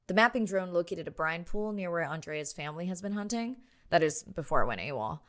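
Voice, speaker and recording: A woman's voice: lowish voice, animated, a little fast, natural voice. A woman playing a wry, adventurous diver in a game, speaking as in a spoken expedition log. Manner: wry, dry humor.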